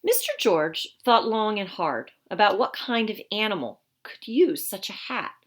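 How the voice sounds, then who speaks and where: Female storybook reader, mid-pitched and highly animated, teacherly and expressive, speaking plainly for young children. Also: unhurried, slight background noise. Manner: expressive, teacherly, explains the pictures simply. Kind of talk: children's read-aloud with explanatory asides.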